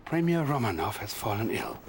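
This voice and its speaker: A scheming psychic advisor who talks in a deep, low hush. His menace stays quiet and controlled, and his words often fade into a breathy whisper. It sounds like a strategy game cutscene. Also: sing-song, brisk, slight background noise. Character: sinister middle-aged male psychic advisor, scheming. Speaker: Soviet military advisor. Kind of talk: video game cutscene acting.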